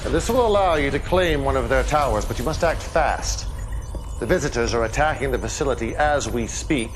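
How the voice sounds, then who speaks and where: Villainous game role: messianic male cult leader, played by an actor, calm, measured, commanding, with faint noise behind. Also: mid-range voice, close to an everyday voice. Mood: urgent, commanding. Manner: grandiose, measured, deliberate. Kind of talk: game cutscene acting, addressing the player.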